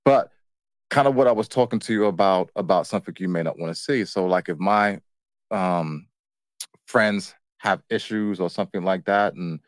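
A man's voice: deep voice, normal speed, no room sound. A man co-hosting a casual, unscripted relationship chat, talking in a colloquial, matter-of-fact way. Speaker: co-host of a couples' relationship show.

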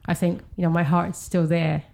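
Chatty gravelly-voiced Brazilian woman. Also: on the low side, flat delivery, normal speed, dark tone. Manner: chatty, laughs often, many fillers. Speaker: podcast guest. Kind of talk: casual chat. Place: home in London.

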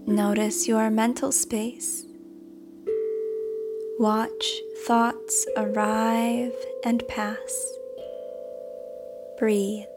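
A woman reading a script as a meditation guide, with a soft, deliberate, instructional delivery. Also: on the high side, flat delivery. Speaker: meditation guide and podcast host. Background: background music.

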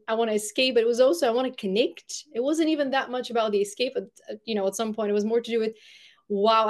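A woman's voice: fairly high pitch, darkish tone. Woman telling her story. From Bulgaria. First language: Bulgarian. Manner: earnest, reflective. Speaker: podcast guest. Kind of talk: reflective storytelling.

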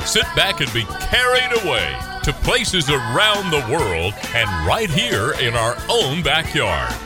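A man reading a scripted radio show introduction in a slow, dramatic announcer style. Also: on the high side, huge pitch swings, over music.

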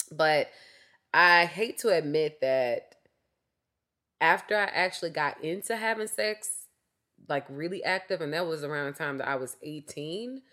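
Low-voiced woman, a bit raspy. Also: animated, a little slow, a bit bright. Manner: candid, chatty, frequent fillers like "like" and "um". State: slightly raspy from a cough. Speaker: podcast host. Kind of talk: casual solo podcast monologue.

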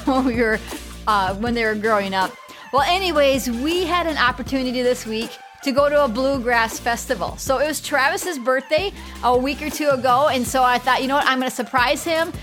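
A woman's voice: high voice, fairly bright tone. A middle-aged woman, telling stories in a chatty, informal way as a podcast co-host. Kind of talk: casual conversational podcast.

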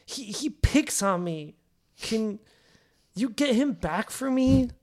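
A woman's voice: on the high side, lively intonation, takes it slowly, darkish tone, voice pushed up. Woman playing an eager nerdy office kid. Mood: pleading. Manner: eager, pleading, awestruck. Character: eager, picked-on nerd kid office worker. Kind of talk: comedy table read.

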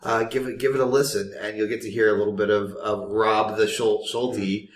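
A man's voice: on the low side, fairly even intonation, moderate pace. A man co-hosting a podcast in casual chat, rambling with fillers and restarts. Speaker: podcast co-host. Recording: roomy echo.

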